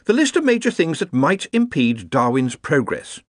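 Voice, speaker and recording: A man's voice: on the high side, very animated, moderate pace, no echo, studio quality, voice pushed up. A man voicing a harried, earnest academic wizard who organises everyone in a fussy, polite, explanatory way, in a storybook reading. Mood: weary, patient.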